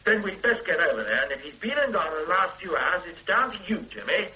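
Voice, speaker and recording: An actor playing a sarcastic, authoritative police superintendent in a radio drama. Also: male voice, sits high, big pitch swings, fast talker, sharp tone, heavily acted, poor audio. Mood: sardonic. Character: stern sardonic male police superintendent. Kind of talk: 1970s radio drama acting.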